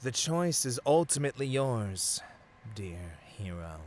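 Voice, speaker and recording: A man's voice: mid-range voice, sing-song, slow pace, a little hiss or hum. A man playing a seductive villain tempting a hero in a scripted ASMR roleplay, speaking intimately and theatrically and often dropping toward a whisper. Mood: intimate, fervent.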